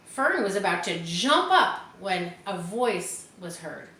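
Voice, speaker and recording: A woman reading a children's book aloud in an expressive storytelling style, in a roomy space. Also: fairly low pitch, slow pace. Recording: roomy echo with faint background noise.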